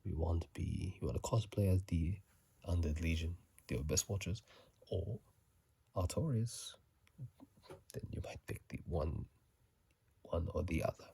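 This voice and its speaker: A man doing whispered ASMR commentary on a video game, soft and breathy, musing in a halting, casual way, close to a phone mic. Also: low-pitched, low-quality recording. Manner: halting, musing, unhurried delivery. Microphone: close phone microphone.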